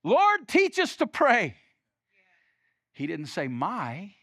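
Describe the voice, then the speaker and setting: A Southern pastor preaches, his voice pitched high for a man and bright in tone. He moves between emphatic peaks and quiet, conversational asides. The sound is dry and close. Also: wildly swooping pitch, takes it slowly, dry recording. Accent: Southern US (Alabama). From Alabama, US. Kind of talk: sermon.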